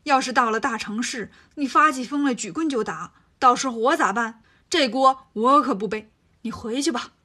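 A woman voicing a gullible, soft-hearted male monk who lectures his disciple. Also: fairly high pitch, lively intonation, normal speed, breathy edge. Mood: scolding. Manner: preachy, naive, scolding.

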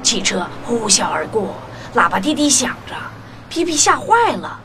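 Animated woman narrating a children's story. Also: on the high side, normal speed, noisy background. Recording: music under the voice.

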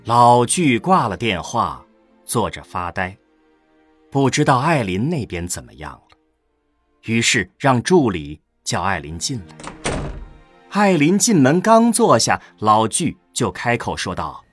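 A man's voice: medium pitch, big pitch swings, measured pace, a bit bright, dry recording, soft background music. A man narrating an audio drama in a scripted storytelling style.

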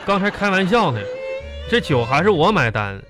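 A man's voice: high-pitched, big pitch swings, normal speed, over music. A man playing a boastful, sentimental young former classmate in a radio comedy, chatty and melodramatic, with a Northeastern Chinese accent. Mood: teasing. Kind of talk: comedic radio drama dialogue.

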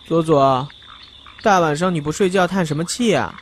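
A performer playing a teasing, sharp-tongued, talkative sock with a sarcastic, chiding manner in a radio drama. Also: medium pitch, moderate pace, a little hiss or hum, natural voice. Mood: mocking. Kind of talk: Chinese radio drama dialogue acting.

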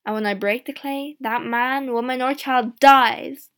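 A woman narrator plays a menacing old woman accused of witchcraft in a storybook tale. She is loud, high and defiant, spitting out her threats in slow, emphatic phrases. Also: steady tone.

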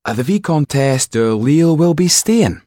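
A man's voice: fairly high pitch, animated, normal speed, mellow, no echo, clean studio sound, voice pushed up, lightly acted. A man voicing a gossiping footman in an audiobook, amused and chatty.

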